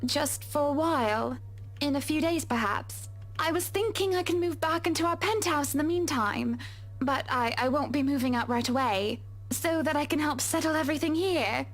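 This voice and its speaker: A woman voicing a high-pitched, refined young wife. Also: faint music behind, close to an everyday voice. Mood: careful. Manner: measured, refined speech breaking into swearing. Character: troubled young wealthy wife, proper but fed up. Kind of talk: game voice acting.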